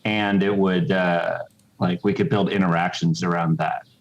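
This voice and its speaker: Low-voiced man, slightly muffled audio, explaining. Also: fairly even intonation, moderate pace. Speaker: game designer being interviewed. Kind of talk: explanatory interview chat.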